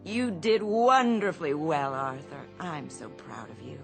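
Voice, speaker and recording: A tender older shopkeeper's wife in a radio drama. Her voice is on the low side and moves expressively. She sounds motherly, with faint music underneath. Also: very animated, unhurried, creaky, heavily acted. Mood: tender, proud.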